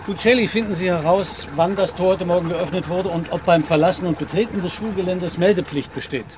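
A man's voice: sits high, brisk, over music, close to an everyday voice. An actor playing a decisive male police commissioner, matter-of-fact and commanding, in a German radio drama. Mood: brisk, instructing.

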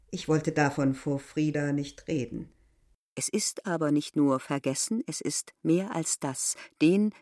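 A woman's voice: deep voice, darkish tone, close to an everyday voice. A woman as a proud, embittered village landlady. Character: proud, embittered middle-aged village landlady, former mistress. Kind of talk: literary radio drama acting.

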